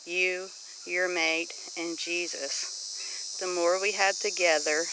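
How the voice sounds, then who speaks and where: A woman's voice: lowish voice, a bit bright, slight background noise, rough recording. A middle-aged woman with a Southern US accent gives an informal, preachy monologue to viewers, earnest and exhorting, repeating phrases for emphasis. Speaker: homesteading vlogger. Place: outdoors on a homestead.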